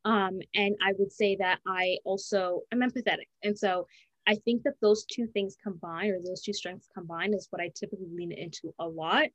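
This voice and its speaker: A woman's voice: medium pitch, fairly even intonation, fairly bright tone, no room sound, poor audio. A woman being interviewed, answering in an earnest, conversational way, often restarting and correcting herself. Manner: earnest, fast, with frequent restarts and self-corrections. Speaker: interviewee, student advisor.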